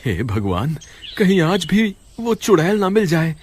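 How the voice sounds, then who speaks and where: A man's voice: fairly high pitch, big pitch swings, a little slow, slight background noise. A man playing a jumpy, fretful village woodcutter in a horror cartoon, given to exclaiming. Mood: wary. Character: frightened village woodcutter, a husband, jumpy but stubborn. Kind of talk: Hindi horror cartoon dialogue. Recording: music behind the voice.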